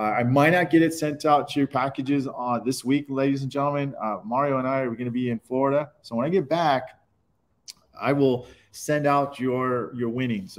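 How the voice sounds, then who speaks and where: Laid-back man hosting a live webinar. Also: mid-range voice. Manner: loose and upbeat, calls people dude. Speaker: webinar host. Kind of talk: casual live-stream chat. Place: indoor auto repair shop.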